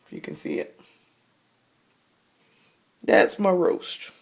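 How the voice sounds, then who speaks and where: A woman's voice: lowish voice, huge pitch swings, takes it slowly, creaky. A young woman from South Carolina talks in a thick Southern drawl as she cooks in her home kitchen. She chats loosely, with plenty of ums, frequent laughs and easy swearing. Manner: chatty, laughs often, swears freely, lots of ums. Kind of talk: casual vlog chat while cooking.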